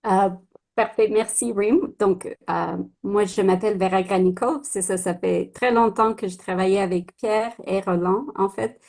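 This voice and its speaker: A woman's voice: mid-range voice, steady tone, normal speed, vocal fry, a little mellow. A woman co-chairing a prize committee, introducing the guest speaker on a video call in a friendly, slightly hesitant way. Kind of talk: webinar introduction.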